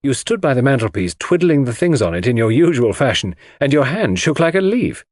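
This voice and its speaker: A male reader plays a detective's gentleman companion in an audiobook mystery. He is earnest and easily baffled, exclaiming with very mobile pitch. The voice has a slightly husky grain. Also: big pitch swings, brisk, no room sound, clean studio sound. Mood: teasing, lively.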